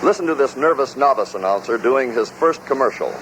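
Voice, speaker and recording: A man's voice: mid-range voice, lively intonation, fairly quick, a bit bright. A man reading scripted narration as the presenter of a compilation, in an announcer's role. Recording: noisy old radio recording.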